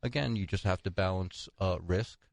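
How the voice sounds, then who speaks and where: A man with a low voice presents financial articles as a talk show co-host. His delivery shifts between reading from notes and conversational commentary, and the sound is dry with little room to it. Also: normal speed, creaky, darkish tone, no room sound.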